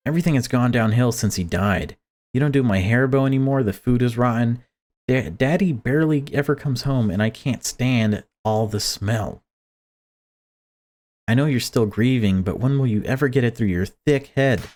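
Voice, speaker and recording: A man's voice: mid-range voice, normal speed, darkish tone, close to an everyday voice. A man voicing a distraught young daughter, fed up and pleading, reading visual novel dialogue aloud.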